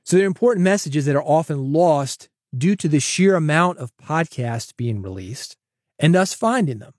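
A man's voice: a little high, animated, normal speed, a little mellow, no room sound, studio quality. A man hosting his own solo podcast, talking casually and coaching listeners, often calling them "man". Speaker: podcast host.

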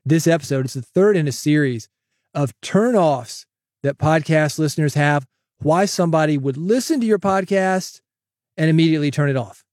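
Guy hosting a podcast, pumped up and giving tips, a bit higher voice, dead dry studio mic. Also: normal speed, no room sound. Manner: energetic, coaching, direct address. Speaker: podcast host. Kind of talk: solo podcast advice with sponsor read. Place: home studio, processed dry voice. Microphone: studio broadcast dynamic mic.

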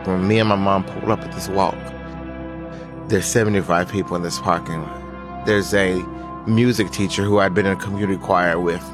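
A man's voice: low-pitched, steady tone, moderate pace. A man from Nashville telling his own story in the first person for a radio documentary. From Nashville, United States. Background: music bed.

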